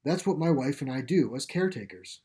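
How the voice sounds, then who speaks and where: A man reading a story plays a calm, gentle caretaker of an animal sanctuary, explaining things with assurance. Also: medium pitch, a little fast, lightly acted. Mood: gently persuasive. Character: calm, reassuring pipe-smoking male caretaker of animal sanctuary. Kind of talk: storybook character dialogue.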